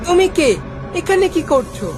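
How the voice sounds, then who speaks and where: A woman's voice: very high-pitched, expressive, normal speed, over music. A woman voicing an innocent, curious little boy in a children's cartoon, eager and wide-eyed. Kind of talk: children's animated cartoon dialogue.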